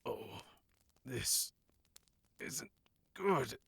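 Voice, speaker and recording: A man playing a weary, beleaguered fighting cleric in a fantasy audio drama, with a creaky voice and dry delivery. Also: mid-range voice, lively intonation, extremely slow, sharp tone, heavily acted. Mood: weak, uneasy.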